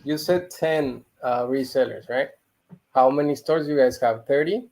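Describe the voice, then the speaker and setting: A man from Mexico, a guest on a casual livestream video call, frank and thinking out loud as he talks. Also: medium pitch, normal speed. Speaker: guest reseller.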